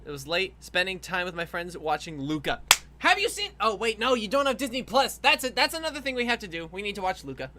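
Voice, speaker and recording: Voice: medium pitch, sing-song, brisk, noisy background. A livestream host chatting casually while animating, rambling and laughing as they talk, with frequent swearing.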